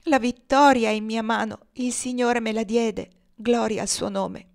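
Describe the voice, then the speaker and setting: A woman voicing a dying old man in an audiobook, gentle, feeble and devout, speaking with solemn peace. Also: mid-range voice, fairly even intonation, creaky. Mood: joyful, exalted. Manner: solemn, devout, slow-breathed exaltation.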